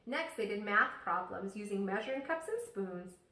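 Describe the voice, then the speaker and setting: A woman teacher reading a children's story aloud expressively, pausing to ask her listeners questions. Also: mid-range voice, animated, pushed and bright. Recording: echoey room, distant microphone.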